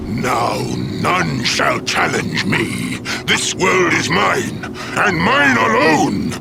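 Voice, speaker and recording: An actor playing an arrogant, merciless video game villain, grandiose and commanding. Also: male voice, on the high side, sing-song, extremely slow, gravelly, exaggerated voice. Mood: triumphant menace. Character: menacing male dark overlord, arrogant and merciless. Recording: loud game music behind.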